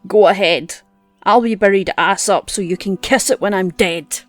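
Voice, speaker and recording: Man doing a shrill bossy woman, crude and mocking, dry close sound. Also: normal speed, dry recording, soft background music, natural voice. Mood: scolding. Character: bossy scolding woman, harsh.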